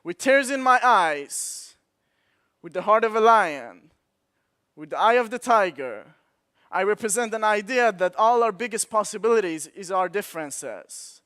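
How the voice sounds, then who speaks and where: A man's voice: high voice, expressive, a little slow, sharp tone, clean tone. A man in his twenties with a Swedish accent, giving an impassioned motivational keynote at a conference with rhetorical repetition. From Sweden. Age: 20s. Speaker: conference speaker. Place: conference hall.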